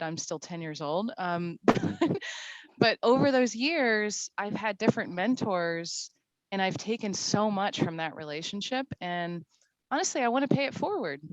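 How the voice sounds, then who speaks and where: A chatty woman in her forties from Morocco, speaking on a discussion panel. Her voice sits at a middle pitch. The sound is dry and close, with no room in it. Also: no echo, rough recording. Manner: chatty, laughs often, many fillers. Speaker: panelist, CISO.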